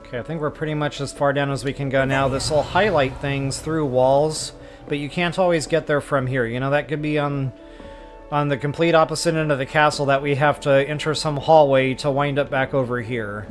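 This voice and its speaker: A man's voice: mid-range voice, fairly even intonation, brisk. A man streaming a video game, giving casual, chatty and rambling gameplay commentary. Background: game music.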